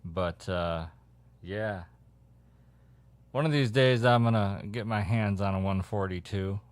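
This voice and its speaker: A man's voice: on the low side, takes it slowly, dry recording. A man who is a CB hobbyist, chatting casually and explaining things in an easygoing, conversational way. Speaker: CB radio hobbyist. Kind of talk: casual radio chat with explanations.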